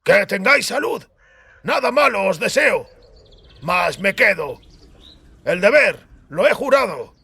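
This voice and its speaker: Man doing a high-voiced stolid army tracker. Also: very animated, unhurried, creaky, long pauses, sharp tone, slightly rough, heavily acted. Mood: resolute. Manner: terse, earnest. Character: stolid, dutiful male tracker, loyal plain soldier.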